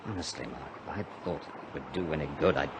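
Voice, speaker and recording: An actor playing a gruff, determined castaway adrift at sea in a radio drama. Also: male voice, extremely low pitch, fast talker. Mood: grim. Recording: noisy radio drama recording.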